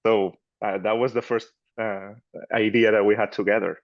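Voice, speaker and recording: A man's voice: lowish voice, little pitch movement, normal speed. Spanish-accented man on dull call audio. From Barcelona, Spain. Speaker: math learning specialist, webinar guest. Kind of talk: conversational webinar introduction.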